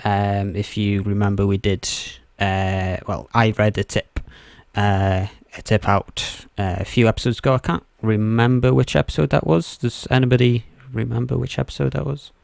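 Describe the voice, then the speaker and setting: A man co-hosting a casual podcast in a fairly low, gravelly voice. He explains in a halting, stop-start way, close and dry on the mic. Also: level tone, darkish tone, no room sound. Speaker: podcast co-host.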